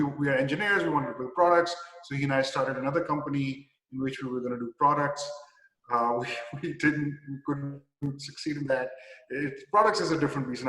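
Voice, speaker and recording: A man in his forties with a Pakistani accent, a company president answering interview questions in a halting, easygoing way with many restarts. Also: medium pitch, fairly bright tone. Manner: halting, many restarts and "you know" fillers, easy chuckles. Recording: echoey room with long reverb.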